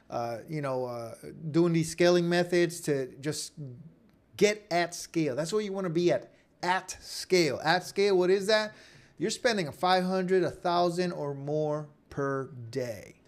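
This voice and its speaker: A man giving an informal screen-share tutorial as an online instructor, talking conversationally and often checking in with "okay?" or "right?". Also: on the high side.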